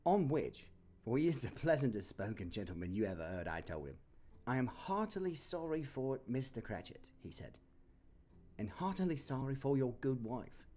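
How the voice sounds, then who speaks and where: A man voicing a humble, grieving clerk and father who talks softly and tenderly, with halting restarts, in an audio drama. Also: medium pitch, big pitch swings, slight background noise, voice pushed up, lightly acted.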